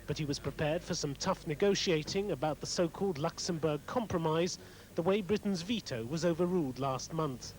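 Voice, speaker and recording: A man's voice: on the high side, brisk, slight background noise, low-quality recording. A man giving a scripted report as a foreign news correspondent.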